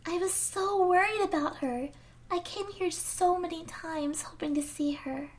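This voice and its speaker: A woman voicing a gentle, anxious and earnest young woman in a video game fan dub. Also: very high-pitched, measured pace. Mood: sorrowful. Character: gentle, anxious young woman, soft-hearted worried friend. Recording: amateur voice-over under loud game music.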